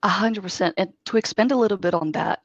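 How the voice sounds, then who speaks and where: A woman from Brazil, a native Portuguese speaker, speaking as a software engineer guest in an online interview and giving earnest, expansive advice. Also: mid-range voice, quick pace, dry recording. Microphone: video call. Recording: dull dry video call audio.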